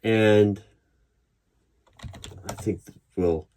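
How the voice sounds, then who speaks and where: Low-voiced man asking probing interview questions. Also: steady tone, drawn-out words, warm tone. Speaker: interviewer in an audio roleplay. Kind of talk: ASMR roleplay of a job interview. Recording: clear background noise. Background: computer keyboard typing and clicks.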